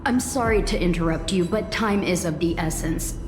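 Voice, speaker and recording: A woman's voice: lowish voice, normal speed, lightly acted. A woman playing a polite, dutiful messenger in a video game, formal, courteous and precise. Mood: courteous, urgent. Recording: echoey hall with music behind.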